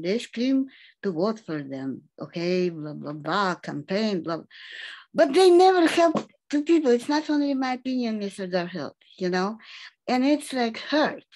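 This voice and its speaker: A woman whose first language is Russian, asking a long, emphatic question in halting English on a video call. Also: mid-range voice, darkish tone, low-quality recording. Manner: halting non-native English, emphatic complaints. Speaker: audience member asking a question.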